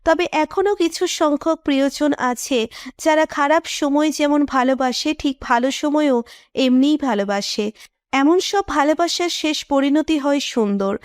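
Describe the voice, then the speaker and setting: High-pitched woman narrating, dry close sound. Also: measured pace, dry recording. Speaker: story narrator. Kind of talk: scripted romantic story narration.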